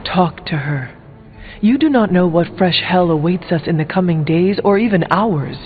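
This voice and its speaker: Game warrior hero: stern, resolute, blunt, formal diction, somewhat high male voice, narrow phone-like sound. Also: lively intonation, normal speed. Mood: grave, insistent. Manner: formal, uncontracted speech, solemn, blunt. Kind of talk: video game character dialogue. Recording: thin phone-like audio, music behind.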